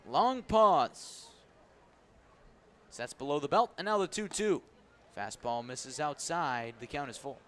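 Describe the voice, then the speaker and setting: A man doing live baseball play-by-play on the radio, mixing clipped pitch calls with conversational asides. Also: expressive, slow, a bit bright. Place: outdoor baseball stadium. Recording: clear outdoor stadium ambient noise. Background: outdoor ballpark ambience.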